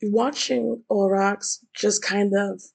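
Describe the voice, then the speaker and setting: Gravelly-voiced woman chatting casually. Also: mid-range voice, fairly even intonation, slow pace. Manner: chatty, giggly, swears freely. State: recovering from flu, voice rough. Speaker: video essay host. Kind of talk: casual commentary.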